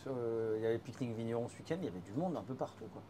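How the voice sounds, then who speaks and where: A man's voice: medium pitch, expressive, rapid-fire, dark tone. Guy at a wine tasting, mid voice, keeps going euh, light background hiss. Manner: hesitant, many fillers. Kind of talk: casual chat at a wine tasting.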